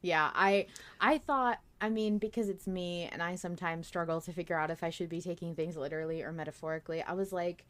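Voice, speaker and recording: A woman's voice: lowish voice, steady tone, fairly quick, few pauses, fairly bright tone. A woman co-hosting a podcast in casual fan discussion, talking in a giggly, casually sweary way. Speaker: podcast host.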